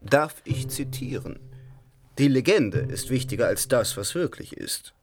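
A man's voice: mid-range voice, lively intonation, measured pace, over music, natural voice. An actor playing a vain male theater director who speaks in a theatrical, declamatory way, in a radio drama.

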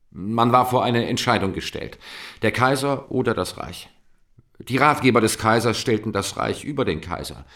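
An actor playing a sober, deferential German colonel in a radio drama, speaking in a restrained, matter-of-fact way. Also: male voice, lowish voice, a bit bright.

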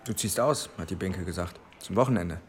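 A man's voice: low-pitched, a little fast, close to an everyday voice. An actor playing a pushy, calculating, matter-of-fact male newspaper reporter in a radio drama. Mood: brisk, calculating. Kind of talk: German radio drama acting. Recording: noisy crowded hall behind some scenes.

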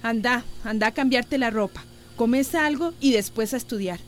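A woman's voice: on the high side, normal speed, soft background music, lightly acted. Actress as a scolding Central American mother. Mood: scolding, motherly. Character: worried mother, scolding her son, confiding. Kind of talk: radio drama acting.

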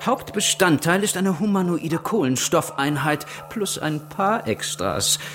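An actor playing a proud, eccentric English gentleman inventor in a German radio drama, polite but cutting and excitable. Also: a little high, measured pace, soft background music. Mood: eager explaining.

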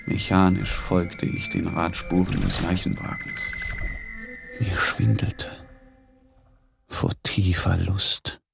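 Low, creaky, slow male narrator. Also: flat delivery. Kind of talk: dramatic interior monologue, literary reading. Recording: loud music over the voice.

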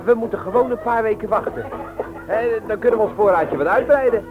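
An actor playing a brash, calculating young petty thief in a 1960s radio drama, on a dull recording. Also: male voice, high voice, a little fast, natural voice. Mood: cocky, calculating. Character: cocky scheming youth, leader of petty book thieves. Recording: dull old radio recording, music behind. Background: music.

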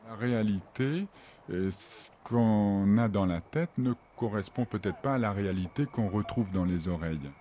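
A man's voice: lowish voice, animated, moderate pace, mellow, a little hiss or hum. A man speaking reflectively and hesitantly, searching for words in an experimental radio piece.

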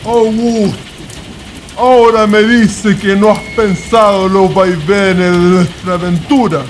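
A man's voice: sits high, a little hiss or hum, low-quality recording. A Chilean man acting an earnest young intellectual adventurer in a radio drama. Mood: indignant.

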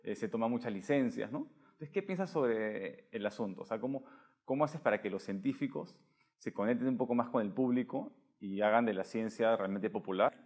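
A man's voice: medium pitch, fairly quick, a little mellow, slightly rough. A man interviewing conversationally, thinking aloud and adding frequent tag questions. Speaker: interviewer, host.

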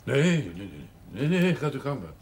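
Village doctor, Dutch, medium-pitched, wry, clipped, authoritative, naturalistic 1970s TV drama, faint noise. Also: male voice, wildly swooping pitch, moderate pace, darkish tone. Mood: brisk, dry. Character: brisk, dry, matter-of-fact male village doctor. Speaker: actor in television drama. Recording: faintly noisy 1970s television soundtrack.

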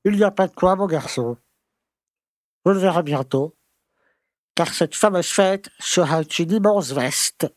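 An actor playing a pompous, grumbling conservative small-town mayor who lectures and scolds in a French audio drama. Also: male voice, high-pitched, measured pace, pauses often, dry recording. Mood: defiant.

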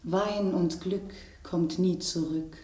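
An actress playing a genteel, sentimental rose with prim, flowery diction in a German radio drama. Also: female voice, on the low side, steady tone, slow pace, darkish tone, roomy sound.

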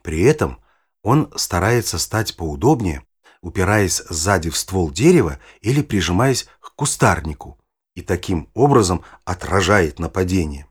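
Husky, deep-voiced old naturalist. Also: male voice, expressive, normal speed. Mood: patient, explanatory. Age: older. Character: knowledgeable old grandfather naturalist at a nature reserve. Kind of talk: audio play, explanatory storytelling.

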